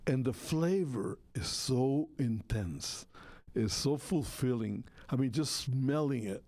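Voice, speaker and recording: Halting man. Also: mid-range voice, lively intonation, a little mellow, no echo. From Costa Rica. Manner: halting, searching for words, many restarts. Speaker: talk show co-host. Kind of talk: casual radio talk about food.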